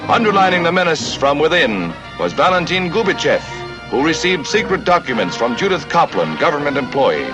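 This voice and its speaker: A man's voice: on the high side, big pitch swings, brisk, hardly any pauses. An American man narrating a scripted 1950 newsreel in a dramatic, grave, declamatory manner. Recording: vintage 1950 newsreel soundtrack with music.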